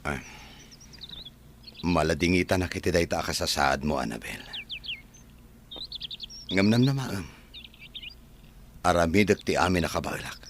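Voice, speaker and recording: A man's voice: low voice, lively intonation, unhurried, long pauses. A man playing a kind, consoling farm owner in an Ilocano radio drama, with birdsong behind him. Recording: clear background noise with birdsong.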